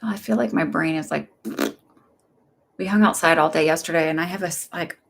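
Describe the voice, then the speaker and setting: A middle-aged woman from Texas with a slightly low voice, talking in a chatty, rambling way. Her delivery is upbeat and gently reassuring as she gives casual live readings to viewers. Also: brisk, vocal fry. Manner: gentle and reassuring when giving advice. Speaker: psychic medium giving live readings.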